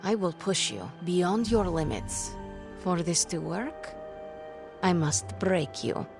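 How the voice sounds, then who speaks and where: A woman's voice: fairly low pitch, very animated, slow, vocal fry, music in the background, full character voice. An actress playing a stern, impatient young sorceress mentor in a video game, formal and imperious in her speech.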